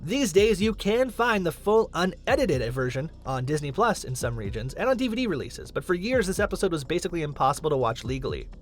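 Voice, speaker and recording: A man's voice: fairly high pitch, big pitch swings, brisk, few pauses. A man reading scripted countdown narration as the host of a list video. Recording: music under the voice. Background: background music.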